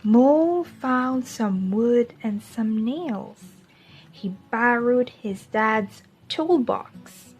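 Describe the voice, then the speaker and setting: A woman teacher tells a children's story at a very slow, deliberate pace. Her voice is on the high side and husky, with faint music playing behind it. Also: expressive, low-quality recording. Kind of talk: children's storybook read-aloud.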